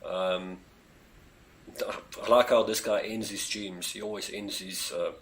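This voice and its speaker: A man's voice: lowish voice, steady tone, a little slow. A man from South Africa with a South African accent, whose first language is Afrikaans, chatting casually on his own live stream with lots of fillers and eager appeals to viewers. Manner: rambling, many fillers, eager pleas to viewers. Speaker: space channel streamer. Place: home near a street.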